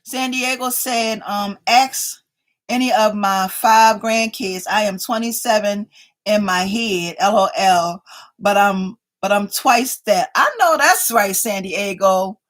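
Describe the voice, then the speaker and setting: A woman's voice: mid-range voice, takes it slowly. A woman in her fifties hosting a casual livestream, chatting playfully with viewers and reading their comments aloud, speaking. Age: 50s. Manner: playful, chatty, keeps addressing viewers as y'all. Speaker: livestream host.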